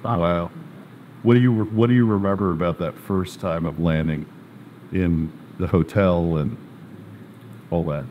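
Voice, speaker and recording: A man hosting a casual interview, chatty and joking, asking quick follow-up questions. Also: sits low, steady tone, dull audio, a little hiss or hum. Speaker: host.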